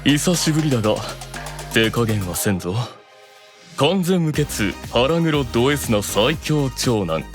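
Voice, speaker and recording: A man playing a stern, composed, arrogant demon eldest brother in an anime audio drama, speaking coolly and deliberately. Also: mid-range voice, very animated, over music. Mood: haughty. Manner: cool, commanding, deliberate.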